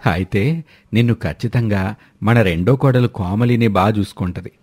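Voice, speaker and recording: A man's voice: lowish voice, normal speed, darkish tone, slightly rough, no echo. A man voicing an elderly father-in-law, a prodding, conniving husband in a cartoon moral story.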